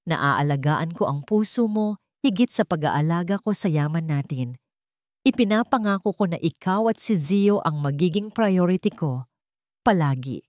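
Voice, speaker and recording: A woman's voice: lowish voice, lively intonation, normal speed, darkish tone, no room sound, studio quality, natural voice. A woman voicing an earnest young rich man in a romance story, tender, heartfelt and emotional. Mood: solemn, loving.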